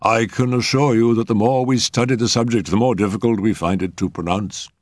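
A man voicing a pompous, genial eminent male doctor who lectures in a measured, emphatic way in a storybook reading. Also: lowish voice, steady tone, dry recording, studio quality, voice pushed up, lightly acted. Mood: self-satisfied, expansive.

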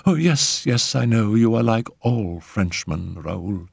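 A man's voice: on the low side, lively intonation, slow, gravelly, husky, dry recording, studio quality, pitched above the performer's own voice, full character voice. A man voicing an exhausted, anxious woman who pleads with agitated, emphatic repetition in a dramatic story reading. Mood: uneasy, dreading. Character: anxious, exhausted, high-strung Frenchwoman, a spirit medium.

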